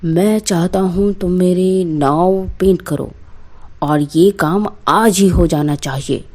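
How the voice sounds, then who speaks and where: A woman voicing an authoritative, deliberate village landlord, a grown man, in a storybook tale. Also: fairly low pitch, dark tone. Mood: commanding. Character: village landlord, commanding but grateful adult man.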